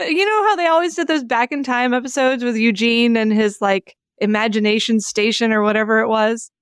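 Woman, co-host, higher-pitched voice, dry recording, enthusiastic and earnest. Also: fairly quick, clean tone, no room sound. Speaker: podcast co-host and scripture reader. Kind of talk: Bible reading and casual group chat.

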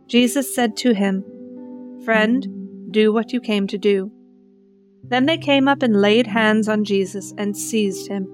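A woman's voice: mid-range voice, unhurried. A woman narrating, giving a dramatic reading from the Bible. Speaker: scripture narrator. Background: plucked guitar music.

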